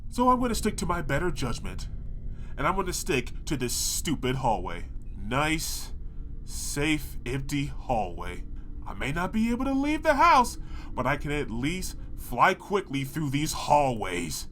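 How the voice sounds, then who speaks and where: Male actor as sarcastic, put-upon cartoon pony for comedy horror audio drama; ranting delivery, very animated intonation, some noise behind. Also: on the high side, unhurried, full character voice. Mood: wry reasoning. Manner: sarcastic, ranting, talking back to the narrator. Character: exasperated, sarcastic male cartoon pony trapped in a haunted mansion.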